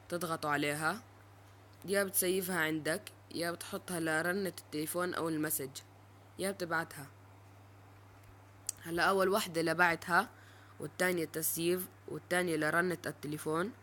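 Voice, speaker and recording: A man's voice: fairly high pitch, fairly bright tone. Young-sounding male tutorial presenter, faint background noise. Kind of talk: informal screen-recorded app tutorial.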